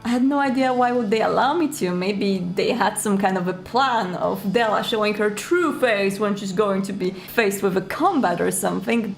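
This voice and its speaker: A woman's voice: medium pitch, vocal fry. A woman speaking informally and expressively, telling a personal story and giving advice as a video host, with dramatic emphasis on key words. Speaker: roleplay player and video host. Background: music.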